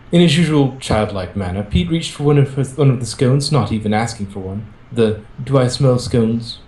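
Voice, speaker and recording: A man's voice: mid-range voice, a little mellow, echoey room, poor audio. A man reading a story aloud as an amateur and chatting casually, over an internet voice call. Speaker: participant in a group fan fiction reading. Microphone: internet group voice call.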